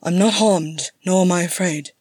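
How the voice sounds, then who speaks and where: A woman's voice: fairly low pitch, normal speed, darkish tone, husky, dry recording. A woman voicing an earnest, stout male dwarf in a fantasy story, awestruck and bewildered, with emphatic, old-fashioned formal phrasing.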